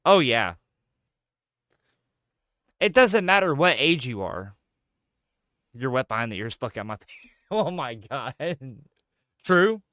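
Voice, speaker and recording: A man in his thirties bantering as a gaming streamer, his voice swinging up and down with lots of life. The tone is bright and clean, and the sound is very dry and close, with no room around it. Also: mid-range voice, slow pace, long pauses, no echo. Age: 30s. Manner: joking, profane, animated banter. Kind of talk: casual chat while gaming.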